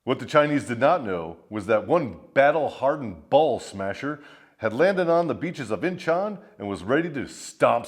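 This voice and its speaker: A man tells war stories as a swaggering, theatrical host. His pitch moves around a great deal as he plays up the drama, and his delivery is crude and darkly comic. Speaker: host of a military storytelling show, a first sergeant. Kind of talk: dramatic scripted storytelling with profane humour.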